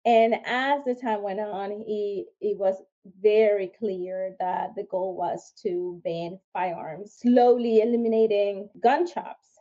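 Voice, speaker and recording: Venezuelan woman telling her story, mellow. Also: mid-range voice, steady tone, takes it slowly, hardly any pauses. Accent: Venezuelan Spanish. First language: Spanish. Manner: earnest, with frequent restarts and fillers. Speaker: podcast guest, firearms instructor. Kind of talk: interview, personal story. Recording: dull lo-fi sound.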